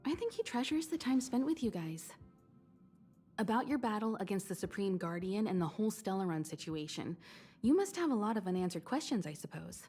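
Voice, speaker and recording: A gracious grown woman mentor from a video game, played by an actress. She speaks at a middle pitch in composed, elegant phrases. Her temper is calm and wise, and soft music sits behind her. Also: fairly quick, natural voice. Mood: gentle, reassuring. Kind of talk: scripted video game voice acting.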